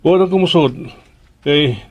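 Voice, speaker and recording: An actor playing a concerned middle-aged head of a household in a Philippine radio drama, with a Filipino accent. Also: male voice, fairly high pitch, a little slow, a little mellow. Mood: pleased, hopeful.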